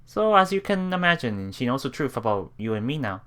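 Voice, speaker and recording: A woman's voice: a bass voice, big pitch swings, a little fast, a bit bright. A woman hosting a let's play, reading visual novel text aloud and reacting with giggly asides. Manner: giggly, reactive asides between read lines.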